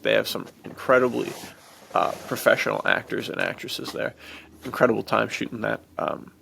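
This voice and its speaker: A man hosting a podcast in casual chat, informal and enthusiastic, with a gravelly, fry-heavy voice. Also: medium pitch, fairly bright tone, slight background noise. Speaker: podcast host.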